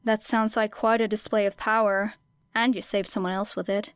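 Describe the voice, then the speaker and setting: A woman's voice: medium pitch, flat delivery, normal speed, smooth, no echo, natural voice. A woman voicing a weary, guarded teenage girl student with a dry, reserved delivery in an audiobook. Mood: measured.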